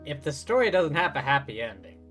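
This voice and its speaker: A game streamer making casual, dry, drawn-out asides during a livestream. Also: mid-range voice, big pitch swings, fairly bright tone, faint music behind.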